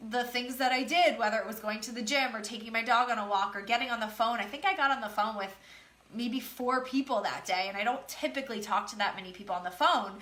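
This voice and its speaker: A woman's voice: fairly high pitch, pushed and bright. A woman business coach giving a casual, emphatic motivational talk, slightly nasal and congested from a cold, repeating her key phrases.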